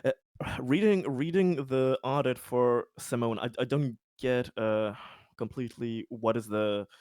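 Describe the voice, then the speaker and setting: A man chatting casually as a game player, thinking aloud haltingly and swearing freely. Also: mid-range voice, a little mellow, no echo, studio quality. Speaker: player in a tabletop roleplaying group. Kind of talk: casual chat.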